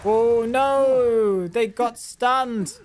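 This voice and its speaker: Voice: medium pitch, extremely slow, darkish tone, a little hiss or hum. A host giving casual, chatty commentary on gameplay, thinking aloud and often trailing off to restart a sentence. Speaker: game commentator and channel host.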